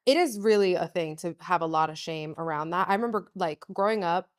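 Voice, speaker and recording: A young woman hosting an interview, chatty and quick, with lots of fillers and laughs. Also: lowish voice. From US, Cuban parents. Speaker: host. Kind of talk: casual interview chat.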